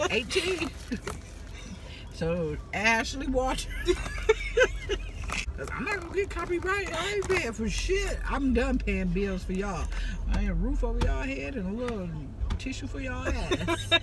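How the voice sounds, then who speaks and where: Late-30s woman, high animated voice, teasing and crude, phone recording in a noisy car. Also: unhurried, pauses often. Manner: laughs a lot, teasing and crude. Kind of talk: casual banter in a car. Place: inside a car at night.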